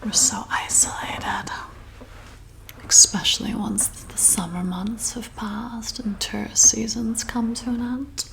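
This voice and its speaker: Casting: woman to play flirty female vampire bartender for ASMR roleplay; breathy whisper thick with fry, unhurried, seductive and welcoming. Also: fairly high pitch, a bit bright, heavily acted. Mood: flirty, intimate. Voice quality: breathy whisper with creaky edges. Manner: slow, intimate, coaxing. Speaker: ASMR performer.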